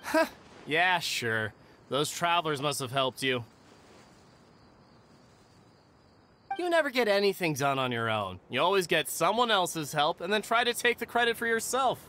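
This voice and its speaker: A man's voice: on the high side, very animated, normal speed, bright, forceful tone. An actor playing an earnest, testy young male caretaker in a video game, fussy and articulate, with faint noise behind. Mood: indignant.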